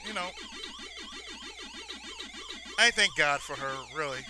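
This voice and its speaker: A man's voice: fairly high pitch, lively intonation, slow pace. A man giving casual let's-play commentary, rambling sentimentally and repeating his phrases, as host of a gaming video. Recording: noisy, game sounds behind voice. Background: arcade-style video game sounds.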